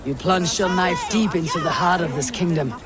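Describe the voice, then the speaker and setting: An actor playing a bold, level-headed Viking warrior in a game, with stately, poetic delivery. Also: male voice, high-pitched, normal speed, a crowd in the background, natural voice. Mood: cool, probing.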